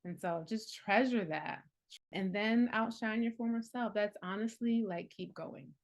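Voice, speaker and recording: A woman's voice: mid-range voice, unhurried. A woman in her forties, an herbalist and healing coach, talking casually and earnestly with frequent laughs and many fillers. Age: 40s. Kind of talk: casual reflective talk.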